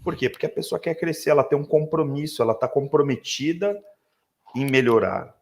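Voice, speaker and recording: A man with a Brazilian Portuguese accent, hoarse, talking reflectively about leadership on a live webinar with many tag questions. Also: fairly high pitch, fairly quick. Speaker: leadership speaker.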